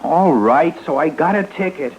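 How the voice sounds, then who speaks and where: A man's voice: fairly high pitch, animated, moderate pace, darkish tone, slight background noise. A man playing a petulant, slangy sixteen-year-old boy in an old radio drama. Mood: pleading. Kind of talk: 1960s radio drama acting. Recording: dull vintage radio, faint music.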